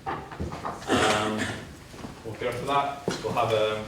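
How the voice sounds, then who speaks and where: A man's voice: fairly low pitch, fairly even intonation, extremely slow. A man hosting a conference who introduces the speakers hesitantly, with many fillers. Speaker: conference host. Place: conference hall. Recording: very echoey hall, distant mic, noisy.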